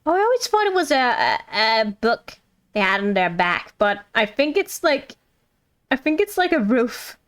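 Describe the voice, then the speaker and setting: A woman's voice: fairly high pitch, sing-song, normal speed, fairly bright tone. A woman doing casual, playful live commentary as she streams a game, reacting as she goes. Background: game music.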